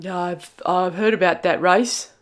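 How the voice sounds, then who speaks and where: A woman's voice: fairly low pitch, steady tone. A woman voicing a hesitant, hopeful old male cane toad in a children's storybook, stammering as he talks. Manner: stammering, halting.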